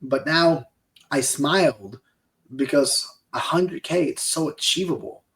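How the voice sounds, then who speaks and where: A man's voice: a little high, measured pace, a bit bright, rough recording. Upbeat Peruvian-accented man in his forties. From Peru. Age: 40s. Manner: upbeat, motivational, hyping up the listener. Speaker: podcast co-host. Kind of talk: casual business podcast chat.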